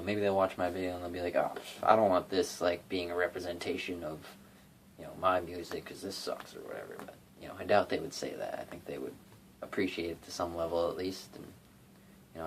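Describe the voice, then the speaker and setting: A man's voice: low-pitched, slight background noise. A young man rambling casually about covering songs, full of fillers and restarts, recorded on a phone in his bedroom. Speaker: YouTube cover singer and guitarist. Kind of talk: casual rambling vlog talk.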